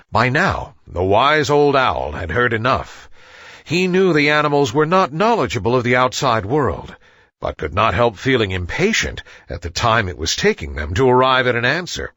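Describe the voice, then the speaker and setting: A man reads a children's story with a hugely varied, swooping melody. His voice is fairly high and husky, and the sound is dry and close. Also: normal speed, no room sound. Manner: expressive storybook delivery. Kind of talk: scripted children's story narration.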